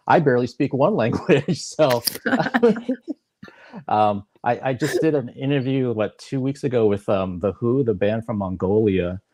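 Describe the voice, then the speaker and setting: A man hosting a live-streamed video-call interview, chatty and relaxed, with many fillers and restarts. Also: medium pitch. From United States. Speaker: interview host.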